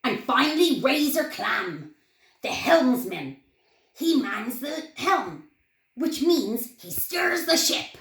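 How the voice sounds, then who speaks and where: A woman's voice: high voice, very animated, takes it slowly, a bit bright, exaggerated voice. A woman voicing a tiny, proud male pirate captain for a children's story, declaiming grandly and giving orders. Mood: hearty. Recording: roomy echo, clear background noise.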